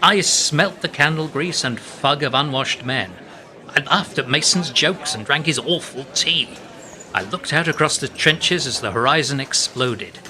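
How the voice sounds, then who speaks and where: An actor playing an earnest, poetic English playwright and former army captain of the 1920s in a radio play, speaking in a lyrical, reflective, articulate way. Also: male voice, on the high side, a little slow, sharp tone. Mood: wistful, impassioned.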